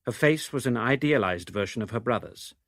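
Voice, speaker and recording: A man's voice: mid-range voice, animated, brisk, a bit bright, dry recording. Dry, close-sounding male narrator. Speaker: reader of novel extracts. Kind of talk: scripted literary narration.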